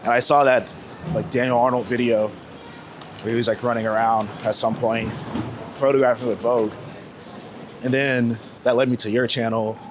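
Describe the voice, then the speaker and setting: A man's voice: medium pitch, little pitch movement, normal speed, pauses often. A young American man from Florida talking casually and candidly in an interview, with informal, slangy speech. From United States, Florida. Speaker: street photographer being interviewed. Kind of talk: casual reflective chat. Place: outdoors on New York city streets. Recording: outdoor street noise with passing vehicles. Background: city traffic ambience.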